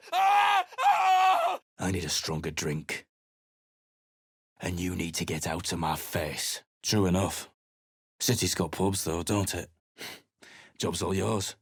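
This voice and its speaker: A middle-aged man voicing a surly, weary male mercenary adventurer in a video game, talking in a gruff, blunt and sarcastic way. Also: fairly low pitch, no room sound, clean studio sound, heavily acted. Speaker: game character.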